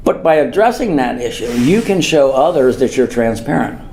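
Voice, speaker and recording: A man's voice: medium pitch, sing-song, normal speed, darkish tone, slight background noise, low-quality recording. An older man from the United States giving an informal public speech as a mayor, rambling and earnest, repeating phrases for emphasis. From Norwood, United States.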